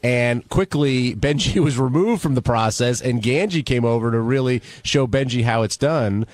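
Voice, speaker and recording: A man's voice: mid-range voice, moderate pace, a little mellow. A man hosting a radio wrap-up show, recapping events in a wry manner. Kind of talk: casual studio banter. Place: radio studio.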